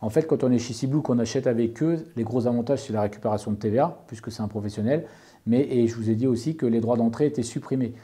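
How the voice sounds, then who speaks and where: This is a man with a very dark, mellow voice. He talks informally and methodically, like an experienced owner giving advice. He explains point by point and rephrases as he goes. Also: mid-range voice, fast. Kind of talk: informal explanatory talk, answering an interviewer.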